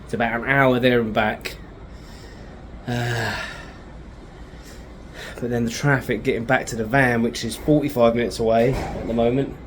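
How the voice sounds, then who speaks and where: British male vlogger, mid-pitched, informal video diary delivery, some background noise. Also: fairly even intonation, normal speed, pauses often. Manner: informal, chatty, thinking aloud. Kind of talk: casual video diary, talking while showing the space.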